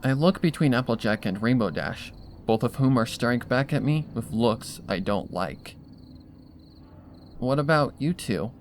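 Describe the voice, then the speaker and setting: Guy reading a story out loud, really animated pitch, some noise behind him. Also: moderate pace. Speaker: fan fiction narrator. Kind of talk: scripted narration, first-person dramatic storytelling.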